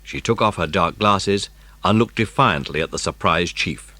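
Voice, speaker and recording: A man's voice: sits low, moderate pace, bright, forceful tone, dry recording. A man reading scripted narration of a children's mystery story as an audiobook narrator.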